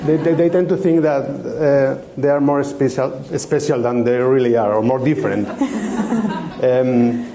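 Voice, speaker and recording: A man's voice: mid-range voice, fairly even intonation, unhurried, creaky, background noise. A man speaking on a conference panel as an IT director, joking and adding "no?" to his points. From Spain. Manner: joking, repeats words, tag "no?".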